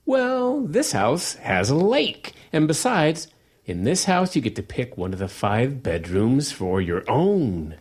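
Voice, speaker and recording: A man's voice: medium pitch, very animated, slow, darkish tone. A man playing a hapless, nervously upbeat father in an audio drama, hesitant and placating. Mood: cajoling, upbeat. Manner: hesitant, stammering, placating, salesman-like cheer.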